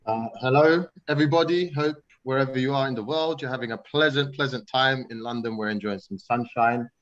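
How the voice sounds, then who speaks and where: A man speaks as a panelist on a video call, steady and measured, pausing often on "uh". His voice is mid-pitched for a man. The call audio sounds a little dull and muffled. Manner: steady, with many "uh" pauses. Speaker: bird-watching group founder, panelist. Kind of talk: measured self-introduction.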